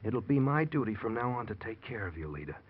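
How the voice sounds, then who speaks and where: A guilty, controlling husband in an old radio crime drama. His voice is low and husky, with an edgy undertone. The broadcast sounds dull and dated. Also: sing-song, quick pace. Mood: solicitous, guilty. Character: guilty, possessive husband hiding a murder, edgy. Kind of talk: 1940s crime radio acting.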